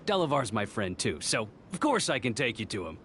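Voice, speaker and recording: An actor playing a smooth-talking, obliging trader in a fantasy video game, with a courteous manner. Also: male voice, medium pitch, big pitch swings, brisk, fairly bright tone, slight background noise. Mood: smoothly agreeable.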